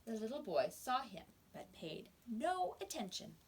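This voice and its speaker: A woman teacher reading a storybook aloud to young children, expressive and pausing to explain words. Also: a little high, slight background noise.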